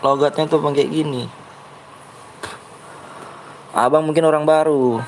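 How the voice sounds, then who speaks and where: A young male game streamer with a Betawi accent and a somewhat raised pitch. He chats with viewers in a brash, blunt way that he says comes across as rough, and keeps pushing them to like and subscribe. A faint hum of noise runs under his voice. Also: fairly even intonation, unhurried, poor audio. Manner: blunt, brash delivery he himself calls harsh-sounding. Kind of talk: live gaming stream chat.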